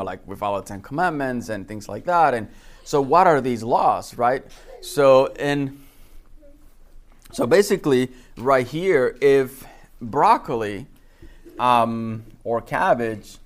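A man from the Republic, teaching informally as a gardening instructor and often repeating words as he goes. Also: medium pitch. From Dominican Republic. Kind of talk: informal lecture with personal anecdotes.

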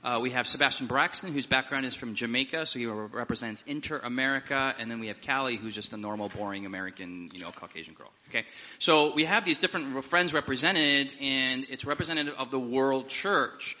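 A man who is a pastor, hosting a live seminar panel in a relaxed, joking, conversational way. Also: mid-range voice, fairly quick, hardly any pauses. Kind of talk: opening prayer then panel introductions. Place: seminar hall with live audience. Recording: dull sound with long hall reverb.

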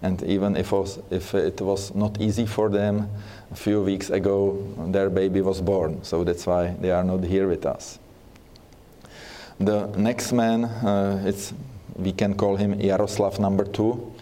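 A man with a Czech accent giving a church seminar talk, speaking haltingly with many fillers and restarts. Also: low voice, little pitch movement, dark tone, slight background noise. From Czech Republic.

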